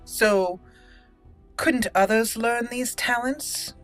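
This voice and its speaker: A woman's voice: a little high, very slow, soft background music. A woman hosting a roleplay let's play, chatting casually and playfully as she thinks aloud. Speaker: game roleplay channel host.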